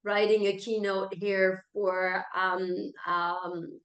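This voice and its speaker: A woman's voice: mid-range voice, flat delivery, drawn-out words, a bit bright. A woman from France with a French accent, a native French speaker, answering interview questions in an emphatic, self-assured conversational way. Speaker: interview guest, keynote speaker, coach and author. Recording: roomy, echoey sound.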